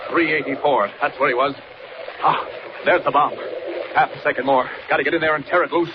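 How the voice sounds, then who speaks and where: An actor plays a stern, bold flying hero in a 1940s radio adventure. He is a man with a somewhat high voice who declaims each action with urgency. The sound is muffled and buried in heavy scratchy noise. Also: steady tone, fairly quick, natural voice. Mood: urgent. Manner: declamatory, urgent, narrates own actions aloud. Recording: scratchy, muffled, noisy old radio recording.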